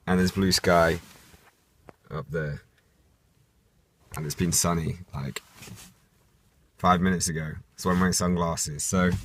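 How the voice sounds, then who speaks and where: A hoarse young man, losing his voice, talks through his workout in a relaxed, rambling vlog style. His voice sits extremely low, and a faint hum of background noise runs under it. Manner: explanatory, relaxed, slightly rambling. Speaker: fitness vlogger. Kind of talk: casual vlog narration.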